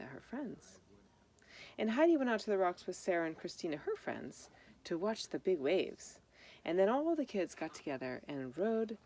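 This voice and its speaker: Low-pitched woman reading a children's storybook. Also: gravelly, a little mellow, slight background noise, poor audio. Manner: simple, explanatory, addressing children directly. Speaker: storybook reader. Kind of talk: reading a children's picture book aloud with informal asides to young listeners.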